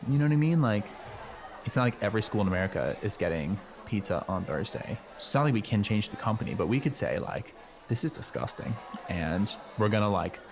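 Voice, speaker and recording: Man playing a low-pitched, chummy teenage schoolboy. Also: vocal fry. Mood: griping. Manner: chummy slang, rambling, halting phrases. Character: laid-back teenage schoolboy, chummy, philosophical about fun. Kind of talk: improvised radio play, casual dialogue.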